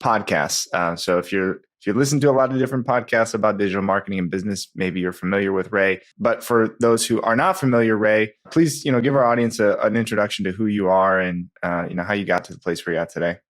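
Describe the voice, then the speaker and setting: A man hosting a marketing podcast, introducing his guest in a halting, casual way with frequent pauses to find words. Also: lowish voice, steady tone. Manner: halting, many uh fillers. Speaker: podcast host.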